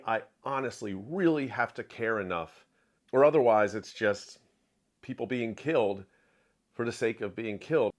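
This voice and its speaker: A man delivers an opinionated review as if straight to viewers. His mid-pitched voice swings animatedly up and down, and he stresses his points emphatically, often repeating a phrase to drive it home. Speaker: book reviewer. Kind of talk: opinionated book review to camera.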